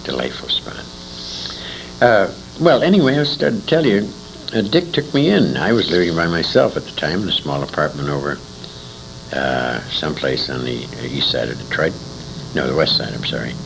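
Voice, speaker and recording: An older man reminisces in a salty, rambling, emphatic way. His voice is creaky and swings very widely in pitch. It is a casual interview on a clearly noisy amateur recording. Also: very animated, normal speed. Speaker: interviewee, old-time radio veteran.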